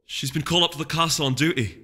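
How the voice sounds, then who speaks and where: A man voicing an excitable, worried young female pony in a storybook reading, urgent and insistent. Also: a little high, rapid-fire, voice pushed up. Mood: anxious. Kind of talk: audiobook character voice. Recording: echoey hall reverb, music behind.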